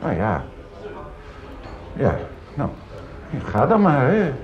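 A man's voice: mid-range voice, wildly swooping pitch, extremely slow, soft background music, heavily acted. An actor playing an affable middle-aged father in a radio drama. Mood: concerned, accepting. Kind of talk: naturalistic radio drama acting. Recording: muffled radio play, dining room bustle.

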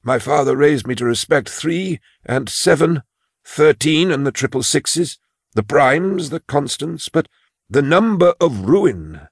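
A man's voice: a little high, lively intonation, measured pace, no echo, studio quality, heavily acted. An actor playing a frail, elderly male clerk who is anxious and quavering, in an audio drama. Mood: fearful. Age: older. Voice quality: gravelly, husky.

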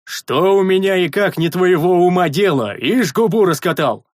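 A man's voice: mid-range voice, sing-song, slow pace, a little mellow, dry recording, studio quality, heavily acted. A man doing a bellowing burly warrior. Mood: boisterous. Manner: bellowing, rough folksy speech. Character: huge boisterous male warrior, loud and rough.

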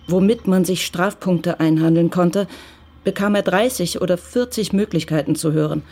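A narrator telling a crime radio play in even, measured, scripted narration. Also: medium pitch.

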